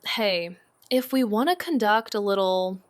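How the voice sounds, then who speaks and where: An actress playing an anxious young woman sleuth in an audio drama, halting and nervously self-justifying as she feigns casual innocence. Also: medium pitch, expressive, close to an everyday voice. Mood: mock casual. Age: young adult. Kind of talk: naturalistic audio drama banter.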